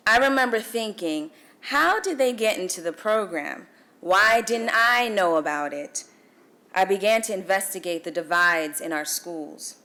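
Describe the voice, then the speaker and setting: A woman's voice: mid-range voice, lively intonation, pushed and bright. Guyanese woman giving an emphatic speech. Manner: measured, emphatic oratory with dramatic pauses. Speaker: featured speaker. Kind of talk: formal speech at a celebration breakfast. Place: event hall.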